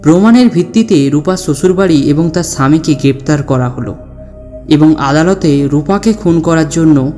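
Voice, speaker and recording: A man narrating a scripted horror story in a measured, solemn, dramatic way. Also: on the high side, mellow. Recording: long reverb, music behind.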